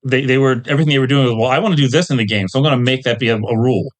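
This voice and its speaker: A man's voice: medium pitch, rapid-fire. Middle-aged man in casual, discursive conversation. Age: middle-aged or older. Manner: discursive, recalling details with hedges and asides. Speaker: podcast guest, veteran game designer. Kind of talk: casual interview conversation about tabletop gaming.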